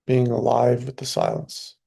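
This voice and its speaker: A man leading a guided meditation, speaking calmly in short phrases with long pauses between them. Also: mid-range voice, gravelly. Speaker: meditation teacher.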